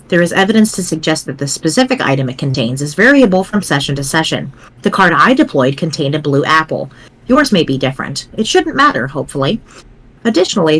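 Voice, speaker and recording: A woman voicing a pedantic, precise young explainer in a dramatic reading, formal, wordy and measured. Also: fairly low pitch, fairly bright tone, rough recording. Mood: dry, instructive.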